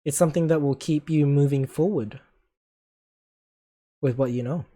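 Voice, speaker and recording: A young man with an Australian accent hosting a casual chat, asking calm, probing questions and laughing often. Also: on the high side, normal speed. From Philippines and Japan heritage, living in Australia. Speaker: host.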